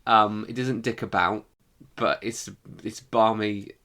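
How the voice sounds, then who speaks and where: A man's voice: fairly low pitch, fairly even intonation, slow pace, sharp tone. A man co-hosting a podcast, chatting casually and informally in review style, with the odd swear word. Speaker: podcast co-host.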